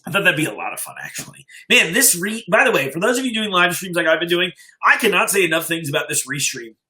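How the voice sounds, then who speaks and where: A man's voice: high-pitched, sing-song, quick pace, bright, forceful tone. A man hosting a livestream in a casual, informal, chatty way, talking appreciatively with his viewers. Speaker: livestream host and story reader.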